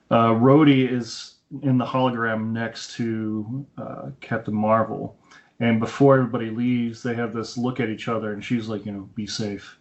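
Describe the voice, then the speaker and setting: A man co-hosting a podcast in casual film discussion, explaining things and retelling scenes in detail over an internet call. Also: mid-range voice. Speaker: podcast co-host. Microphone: Skype call. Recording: dull, lo-fi internet call audio.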